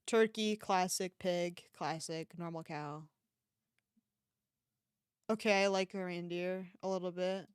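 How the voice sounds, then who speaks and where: A woman's voice: lowish voice, fairly even intonation, takes it slowly, pauses often, clear, resonant tone, dry recording, clean studio sound. A woman livestreamer chatting casually and reacting to an online shop with playful, mock-dramatic exclamations.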